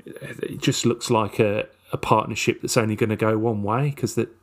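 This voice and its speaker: A man's voice: fairly low pitch, fairly even intonation, moderate pace. A man co-hosting a casual football chat, speaking hesitantly with many fillers and repeated words. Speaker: football podcast co-host. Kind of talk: casual match review chat.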